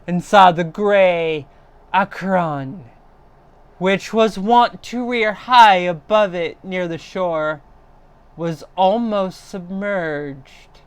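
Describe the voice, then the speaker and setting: A woman narrating a horror story in a theatrical, deliberate way, swelling in intensity as a livestream storyteller. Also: fairly low pitch, clear, resonant tone, dry recording. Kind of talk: dramatic horror story reading.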